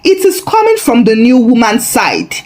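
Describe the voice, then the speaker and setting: A woman's voice: a little high, huge pitch swings, moderate pace, darkish tone. A woman voicing a nosy, suspicious, bossy neighbourhood gossip in a folktale, with a probing, dramatic manner.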